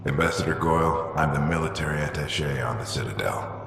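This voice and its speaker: An actor in a video game playing a defiant, visionary military officer with a firm, persuasive way of speaking. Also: male voice, extremely low pitch, a little fast, fairly bright tone, slight background noise. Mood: insistent, driven. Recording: echoey reverberant hall.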